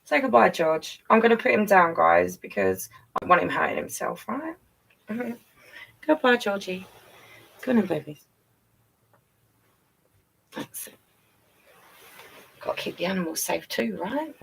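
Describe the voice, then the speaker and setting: A woman's voice: medium pitch, lively intonation, a little slow, gravelly, pauses often, a bit bright, recorded from a distance, a little hiss or hum, poor audio. A woman reading a picture book to young children, playfully breaking off for chatty asides and questions to the listeners. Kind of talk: read-aloud story time with chatty asides.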